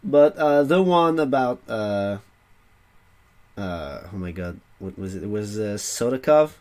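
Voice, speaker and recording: A man co-hosting a podcast in casual chat, halting with many fillers and restarts. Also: on the low side, lively intonation, a little mellow. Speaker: podcast co-host.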